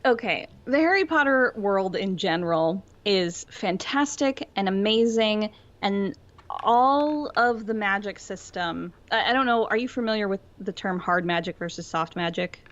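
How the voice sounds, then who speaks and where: A woman's voice: medium pitch, animated, measured pace, fairly bright tone. A dull, lo-fi recording of a woman with a mid-range voice. She talks casually, like a podcast co-host breaking down a fan theory. Her phrasing is informal and explanatory, full of "like". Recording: dull lo-fi audio.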